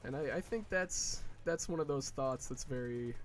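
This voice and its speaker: A man's voice: mid-range voice, faint music behind. A man in his early twenties chatting casually while playing a game, rambling with many fillers, restarts and swearing. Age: early 20s. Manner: slow, drawn-out mock whining in quoted asides. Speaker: gaming video creator.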